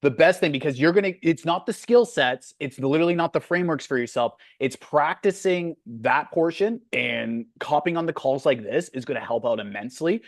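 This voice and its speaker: A man's voice: on the high side, expressive, fairly quick, few pauses, no echo, studio quality. Blunt Canadian man coaching on a call. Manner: blunt, frank, with many fillers. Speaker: sales coach hosting a Q&A.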